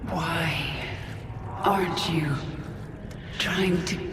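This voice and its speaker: A woman's voice: lowish voice, steady tone, extremely slow, background noise. A woman voicing a vengeful, battle-hardened alien queen in a video game, commanding and grave, with a layered echoing effect. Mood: weak. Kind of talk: dramatic video game acting. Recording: echoey, distant voice under loud music.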